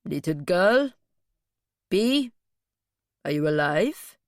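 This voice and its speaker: A woman narrator voicing a slow-witted, grim male captive who speaks bluntly and laboriously. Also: lowish voice, very animated, no room sound, studio quality, voice pushed down, heavily acted.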